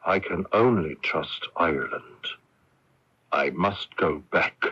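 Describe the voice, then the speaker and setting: Husky, resolute Irish patriot. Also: male voice, low voice, slow, pushed and bright, heavily acted. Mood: grave resolve. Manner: earnest, resolute. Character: stubborn, idealistic Irish patriot, doomed and resolute. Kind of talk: radio drama acting. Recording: muffled AM radio broadcast tape.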